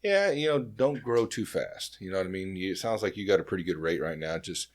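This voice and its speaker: Man, low voice, podcast host, informal, encouraging, casual conversational interviewing. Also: animated, brisk.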